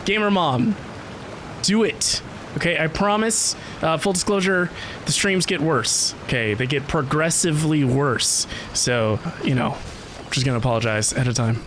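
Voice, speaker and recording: A man's voice: on the high side, moderate pace, a bit bright, a little hiss or hum. A man live streaming a video game, casually chatting with viewers in a playful, wisecracking way. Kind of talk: casual live stream gameplay reaction and chat.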